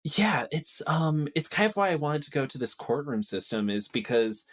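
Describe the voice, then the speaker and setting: A man's voice: fairly high pitch, very animated, normal speed. A man chatting casually and explaining ideas in informal, slangy language, acting out bits of dialogue. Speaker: podcast guest.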